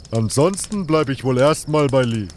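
A man's voice: mid-range voice, darkish tone, slight background noise, natural voice. Man acting brash, boozy mercenary. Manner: brash, dismissive. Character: boisterous, boastful hard-drinking male mercenary.

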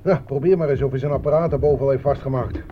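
Seasoned soldier male actor, colloquial, sardonic, excitable; war radio drama with heavy background noise. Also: mid-range voice, fast, close to an everyday voice. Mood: wry, restless. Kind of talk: Dutch war radio play acting. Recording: muffled old radio recording, clear noise.

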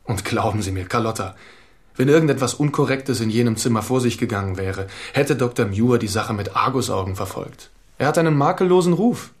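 A man playing a smooth, patronising psychotherapist in a crime radio drama, with a measured, formal and insistent manner. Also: mid-range voice, close to an everyday voice. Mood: reproachful. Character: smooth, patronising male psychotherapist, defensive and flirtatious. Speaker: supporting actor.

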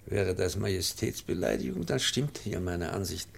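Solemn old professor in a radio play, really deep creaky voice, grave and loyal. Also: male voice, animated, exaggerated voice. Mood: defiant, accusing. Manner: solemn, accusing, measured. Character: grave older professor, loyal, bitter, tender former teacher. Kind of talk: German radio drama acting. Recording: faintly noisy old radio recording.

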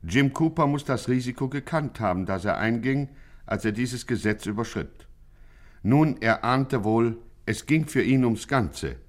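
An actor playing a grave chronicler, narrating a 1950s satirical crime radio play in mock-serious style. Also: male voice, mid-range voice, expressive, unhurried, lightly acted. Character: grave male chronicler of gangland events.

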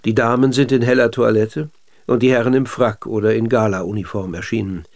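An actor playing a polite, persistent interviewer and narrator in a radio drama, speaking in a measured way. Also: male voice, mid-range voice, steady tone, a little mellow, close to an everyday voice. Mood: measured, narrating. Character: polite, probing male interviewer questioning a dead general.

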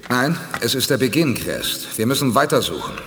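A man's voice: mid-range voice, very animated, moderate pace, long reverb tail, noisy background, lightly acted. An actor playing a determined male space commander who gives orders to his team with urgency. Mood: resolute.

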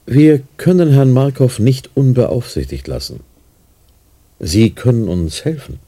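A scheming clinic doctor speaks low and darkly. The voice is husky and creaky, with condescending swings of intonation. It is radio drama. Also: male voice, sing-song, measured pace, dark tone. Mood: condescending, evasive. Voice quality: gravelly, husky. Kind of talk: German radio play acting.

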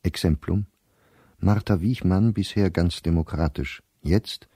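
A man's voice: low-pitched, measured pace, warm tone, husky, dry recording, clean studio sound, exaggerated voice. An actor playing an anxious middle-aged diarist, reading his entries soberly and precisely in short clipped sentences for a radio play. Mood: sober, resigned. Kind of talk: dramatic reading of a diary for radio.

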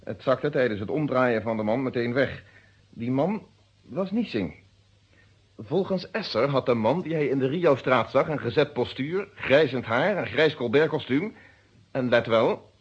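A male police inspector in an old detective radio play. He is methodical and unyielding, wording things formally and precisely in a husky voice. The recording is dull and lo-fi. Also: mid-range voice. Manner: formal, precise, deliberate phrasing. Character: methodical, unyielding police inspector questioning his own friend. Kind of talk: Dutch detective radio drama acting.

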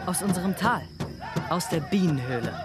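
A man's voice: sits high, expressive, normal speed, loud music behind the voice. An actor playing an earnest young tribesman who tells his people's story in a Western radio drama. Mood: eager.

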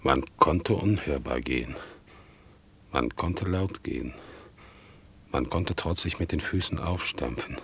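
Very deep-voiced, humbled, bewildered man. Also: expressive, measured pace, vocal fry, long pauses, fairly bright tone, exaggerated voice. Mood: brooding. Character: wronged, bewildered organ-grinder man, humble and pleading. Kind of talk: 1960s German radio play acting. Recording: roomy 1960s radio recording with rumble.

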